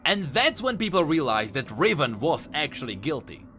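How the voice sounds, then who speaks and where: A man's voice: fairly high pitch, big pitch swings, moderate pace, bright, forceful tone. A man giving enthusiastic, emphatic scripted commentary as the host of a video game lore channel. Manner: emphatic, explanatory. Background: music.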